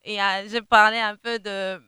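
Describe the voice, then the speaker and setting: A young woman, a gospel singer whose first language is Kinande, answering interview questions in a halting way, often repeating words as she searches for them. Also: mid-range voice, little pitch movement, clear, resonant tone, dry recording, studio quality. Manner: sings softly in places.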